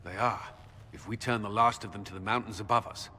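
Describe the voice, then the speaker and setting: An actor playing a grim, resolute military commander in a video game, with a grave, clipped, forceful delivery. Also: male voice, on the low side, steady tone, fairly quick. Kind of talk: dramatic video game acting. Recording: long reverberant hall, faint background noise.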